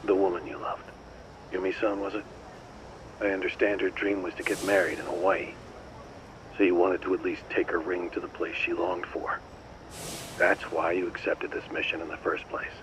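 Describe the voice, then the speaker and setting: A man playing a controlling intelligence handler in a video game, stern and condescending with deliberate phrasing. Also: low voice, flat delivery, noisy background, natural voice. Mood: cool, threatening. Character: controlling, coolly menacing male intelligence handler. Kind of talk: dramatic game cutscene acting.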